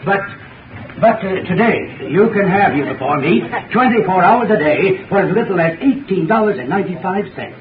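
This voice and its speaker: A man giving a formal, deliberate 1930s radio advertising talk as an expert. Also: sits high, lively intonation. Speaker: heating expert in a sponsor spot. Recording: phone-like, echoey, distant, noisy 1930s radio recording.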